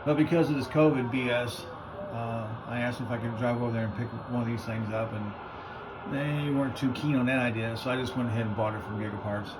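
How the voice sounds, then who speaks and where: Loud radio noise surrounds an American man with a mid-pitched voice. He presents a piece of hobby gear casually and off the cuff, in plain colloquial speech. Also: fairly even intonation, brisk. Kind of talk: casual unscripted product demo.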